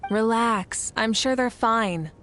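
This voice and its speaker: A woman voicing a calm, composed and reassuring leader in an anime game. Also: a little high, slow, a little hiss or hum. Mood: reassuring, amused. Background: game music.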